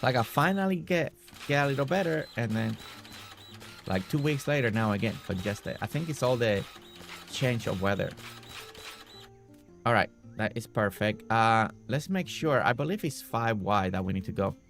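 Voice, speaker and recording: Gravelly, congested man chatting on stream. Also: medium pitch, moderate pace, faint music behind. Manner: relaxed, chatty, talks to viewers by name. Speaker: game streamer. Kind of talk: casual live-stream chat while building.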